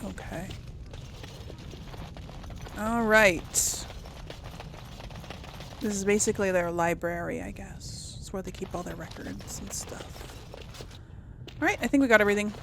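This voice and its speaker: A woman's voice: mid-range voice, a little slow, gravelly, pauses often, a bit bright, slight background noise. A woman giving casual, rambling commentary as she plays a video game, thinking aloud to her viewers. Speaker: gamer hosting a role-play let's play.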